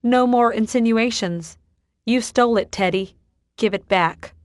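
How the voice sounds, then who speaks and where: A woman's voice: fairly high pitch, a little slow, no room sound, voice pushed up, close to an everyday voice. A woman voicing a stern, no-nonsense woman who runs a library and gives orders bluntly. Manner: blunt, commanding.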